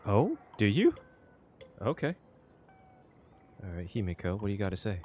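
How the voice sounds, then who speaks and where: A man's voice: fairly low pitch, wildly swooping pitch, normal speed, darkish tone, a little hiss or hum. A man streaming a video game, giving casual reaction commentary as he plays.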